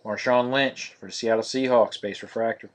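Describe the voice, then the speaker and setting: Monotonous man calling out cards. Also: medium pitch, normal speed. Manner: monotonous, steady listing of cards. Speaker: card break host. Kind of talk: live-streamed card break commentary.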